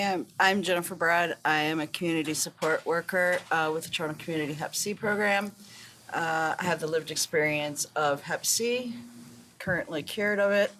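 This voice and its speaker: A woman panelist introducing herself in a halting, unscripted way as a community support worker. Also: low-pitched, a bit bright, slight background noise, rough recording.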